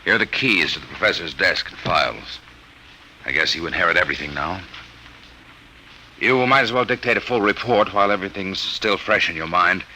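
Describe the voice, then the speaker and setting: An actor playing a grave, protective American army major in an old-time radio drama. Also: male voice, deep voice, brisk, sharp tone, low-quality recording. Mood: grave, concerned. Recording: vintage radio recording with hiss.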